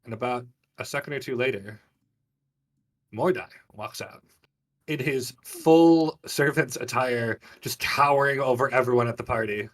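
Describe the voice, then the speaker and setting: A man running a tabletop game as game master, improvising narration in animated, conversational storytelling. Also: mid-range voice, moderate pace.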